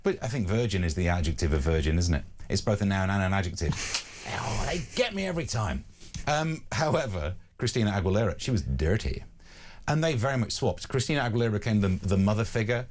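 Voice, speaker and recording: British bloke guesting on a chat show, talks fast, super animated, jokey rambling self-mocking banter. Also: male voice, on the low side. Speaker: guest newspaper reviewer. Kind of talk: casual comic banter.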